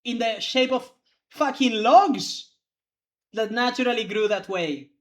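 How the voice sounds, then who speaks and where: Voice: mid-range voice, unhurried. A young adult law student chatting casually, rambling and hedging with lots of fillers. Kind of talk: casual chat among players.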